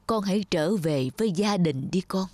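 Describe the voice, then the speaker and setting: A woman voicing a stern but patient male head monk who teaches in a measured, admonishing way in a cartoon fairy tale. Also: lowish voice, expressive, lightly acted. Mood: disappointed, forgiving. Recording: music behind the voice.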